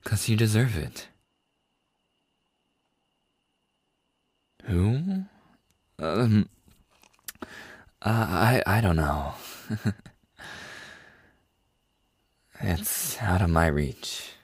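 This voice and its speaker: A man acts out a tender male boss, hopelessly in love, in an intimate ASMR audio roleplay. His voice is very low, husky and breathy. He talks slowly and softly, hesitating between phrases as he opens his heart. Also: big pitch swings, no room sound, clean studio sound, heavily acted. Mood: tender, hesitant. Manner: soft, hesitant, slow with long pauses. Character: tender, lovestruck male boss confessing to an employee.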